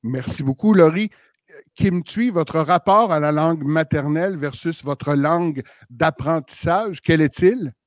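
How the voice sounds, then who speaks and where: A man moderating a literary panel, asking measured, formal questions. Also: a little high, no echo, clean studio sound.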